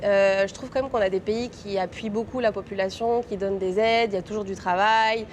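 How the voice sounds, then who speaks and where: A woman's voice: mid-range voice, a little fast, a little hiss or hum. Chatty French woman, interview guest. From France. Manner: lively, chatty storytelling. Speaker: guest, real estate broker. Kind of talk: casual interview chat.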